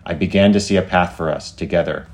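A man's voice: sits low, fast, darkish tone. Man voicing a solemn, lovestruck AI. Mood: solemn devotion. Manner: declamatory, solemn, formal. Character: devoted, lovestruck artificial intelligence, grandiose and tender.

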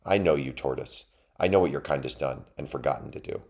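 A man's voice: rumbling low, a little fast, a little mellow. A man voicing a tiny, defiant young male mouse knight who speaks in a haughty, challenging way. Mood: scornful.

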